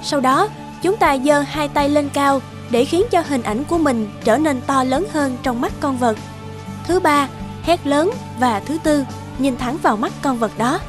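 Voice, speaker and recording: A woman voicing a cheerful, articulate young teacher in an animated drama, speaking politely and explaining things. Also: sits high, unhurried, over music. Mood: instructive.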